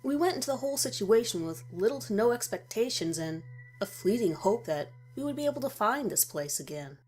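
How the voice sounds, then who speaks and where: A woman's voice: a little high, expressive, fairly quick, darkish tone, breathy edge. A woman reading a scripted horror story in the first person as its narrator. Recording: music behind the voice. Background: background music.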